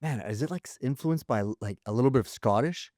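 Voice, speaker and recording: A man's voice: medium pitch, animated, fast talker, a little mellow, dry recording. Rambling man on a dry mic. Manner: rambling, many fillers like "you know" and "like". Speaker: podcast co-host. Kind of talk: casual chat.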